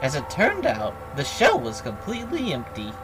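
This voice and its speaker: A woman's voice: on the low side, huge pitch swings, over music. Woman putting on a swooping, taunting villain bear. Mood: sly storytelling. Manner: theatrical, mocking, drawn-out announcements. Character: gleeful, taunting robotic bear villain, sly storyteller. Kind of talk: dubbing video game dialogue aloud.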